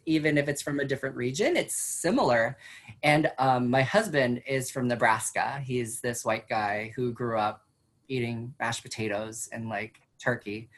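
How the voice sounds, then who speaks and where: A woman from the Philippines, a teacher whose first language is Chavacano, talks in an easy conversational way. Her voice sits very low for a woman. She fills pauses with ums and laughs often. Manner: chatty, with frequent fillers and laughs. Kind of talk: casual conversation.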